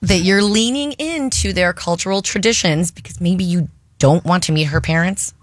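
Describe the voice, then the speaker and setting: A woman's voice: on the low side, moderate pace, dry recording. A woman co-hosting a casual radio chat, asking questions and chiming in supportively. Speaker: radio show co-host.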